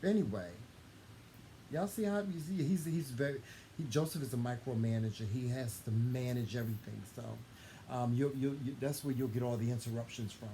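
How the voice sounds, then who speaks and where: A man of middle pitch chats through a personal story. He is openly affectionate and gushing, often repeating and restarting a phrase for emphasis. Faint noisy ambience sits behind his voice. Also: normal speed, mellow. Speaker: co-host telling a couple's story. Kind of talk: casual conversational storytelling. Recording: clearly noisy room ambience.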